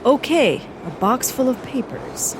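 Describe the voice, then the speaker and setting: An actress playing a blunt, exasperated mission leader in an audio comedy drama. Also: female voice, sits high, expressive, measured pace, noisy background.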